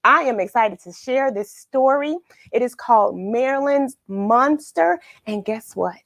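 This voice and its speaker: A woman hosting a live read-along for children, chatting with viewers in an upbeat, excited manner. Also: a little high, unhurried, no room sound, studio quality.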